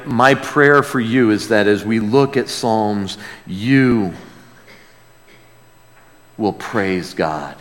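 A man preaching a sermon as a pastor, earnest and emphatic, moving between hushed lines and loud exclamation, with rhetorical questions to the congregation. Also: on the low side. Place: large reverberant room, preaching to a congregation. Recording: long hall reverb.

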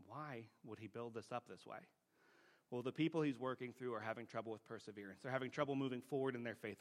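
Guy preaching, medium pitch, casual teaching style, plain talk, keeps tossing questions to the listeners. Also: a bit bright. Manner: plain-spoken, often checks in with rhetorical questions. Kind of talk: conversational teaching sermon.

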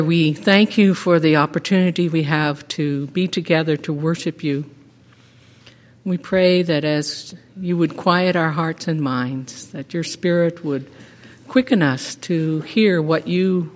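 A middle-aged woman giving a measured, earnest sermon in a church sanctuary, with a teacherly way of explaining. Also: deep voice. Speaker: lay preacher. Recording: echoey sanctuary with long reverb.

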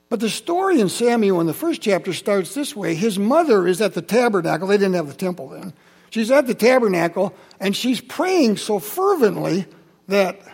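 An older man in his seventies with a high, gravelly, husky voice, speaking to a congregation in a church. He tells Bible stories and memories in a relaxed, chatty way, turning to the listeners with quick asides. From Holland, Michigan. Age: 70s. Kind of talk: personal sermon storytelling.

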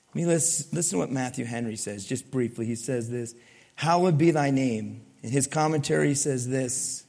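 A male pastor preaching a sermon to his congregation, asking it questions and swinging between loud emphasis and soft, low lines. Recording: long hall reverb.

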